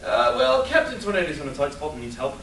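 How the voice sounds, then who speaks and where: In a roomy, noisy live recording, a man plays a sarcastic superhero sidekick. His high male voice is jaded and irreverent, with animated swings, in the manner of a comedy radio play. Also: fast, gravelly, heavily acted. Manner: sardonic, irreverent. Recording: roomy, distant live convention recording with noise.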